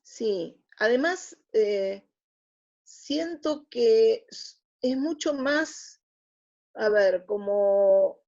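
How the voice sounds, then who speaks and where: Casting: middle-aged Argentine woman, gravelly low-key voice, hesitant conversational interviewee, on dull lo-fi audio. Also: animated, very slow, a little mellow. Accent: Argentine Spanish. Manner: hesitant, searching for words. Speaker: interview guest. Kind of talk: casual reflective interview answers.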